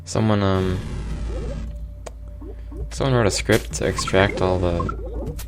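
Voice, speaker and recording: A man developing games, giving soft-spoken, rambling live-stream commentary while he plays his own game, with frequent ums and muttered curses. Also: sits low, slow pace, music in the background. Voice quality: calm voice.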